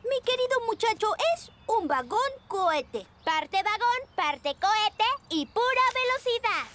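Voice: very high-pitched, sing-song, measured pace, noisy background, heavily acted. An actor voicing a boastful child animal professor in a children's cartoon, pompous and enthusiastic. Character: child cartoon inventor professors, penguin and pink creature, boastful.

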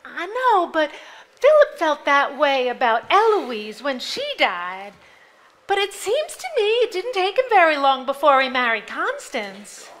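A woman's voice: high voice, sing-song, a little slow, a bit bright, heavily acted. An actress on a theater stage playing a jealous wife who questions her husband in an insistent, pointed, teasing way. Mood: probing. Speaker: stage actor. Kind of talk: live stage drama. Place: theater stage before a live audience.

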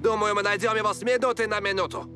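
A man's voice: sits high, fairly even intonation, quick pace, music in the background, lightly acted. A man voicing a nervous, deferential drilling underling in a dubbed cartoon, hedging and over-explaining.

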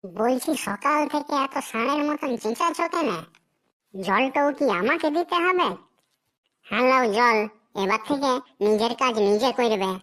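A bossy, gullible cartoon wife played by a woman with a fairly high voice. She speaks a rural western Bengal dialect in a theatrical, scolding way, as in a broad domestic comedy. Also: lively intonation, unhurried, fairly bright tone, low-quality recording. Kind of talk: comic cartoon dialogue.

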